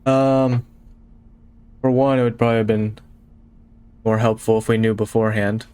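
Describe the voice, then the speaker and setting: A man's voice: fairly low pitch, level tone, normal speed, mellow, clean tone. A man playing a tabletop roleplaying game, chatting casually as he plays.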